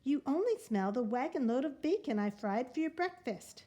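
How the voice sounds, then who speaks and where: A woman's voice: animated, normal speed. Woman doing a bossy, scolding giantess. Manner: sharp scolding, higher pleas.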